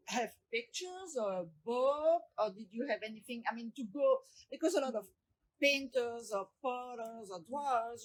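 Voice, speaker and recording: A woman's voice: medium pitch, slightly rough, low-quality recording. A woman from Belgium with a Belgian accent, a photographer asking rambling follow-up questions in a casual chat at an exhibit. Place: library exhibit space.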